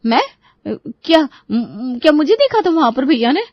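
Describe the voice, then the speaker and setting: A woman's voice: high voice, very animated, measured pace, no room sound, pitched above the performer's own voice. A woman voicing a defensive, lying young sister-in-law who sharply denies everything. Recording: dull lo-fi recording.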